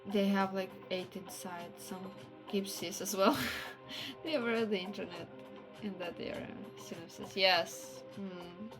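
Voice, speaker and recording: A woman livestreamer with a non-native English accent, chatting casually and haltingly as she searches for words. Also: mid-range voice, over music, low-quality recording. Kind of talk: casual chat stream.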